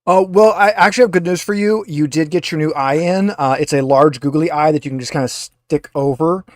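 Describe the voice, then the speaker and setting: A man with a slightly high voice speaks as the game master of an online tabletop game. His delivery is informal and joking, in the manner of casual hosting. The sound is dry and close. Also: a little fast, no room sound.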